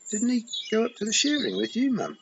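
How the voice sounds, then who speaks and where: A man's voice: medium pitch, normal speed, voice pushed up. A man voicing a thoughtful young girl who speaks earnestly. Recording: muffled with steady hiss.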